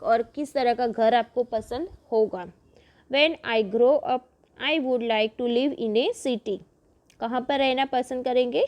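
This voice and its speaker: A fairly high-voiced woman, teaching a primary class. She reads each textbook sentence at a very deliberate crawl, then explains it again in her own words. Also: darkish tone. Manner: reads English lines slowly, then explains rapidly in Hindi-English mix. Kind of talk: bilingual textbook lesson, reading English then explaining.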